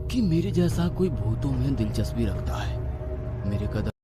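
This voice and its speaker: A man's voice: medium pitch, very animated, normal speed, over music. A man giving dramatic scripted narration as the storyteller of a horror cartoon.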